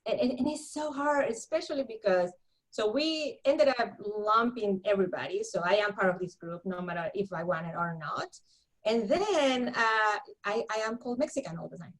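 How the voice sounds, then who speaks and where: A woman from Honduras with a Honduran Spanish accent, telling her story in a lively conversational interview, laughing often and repeating words when worked up. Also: medium pitch, normal speed, low-quality recording. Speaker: guest counselor.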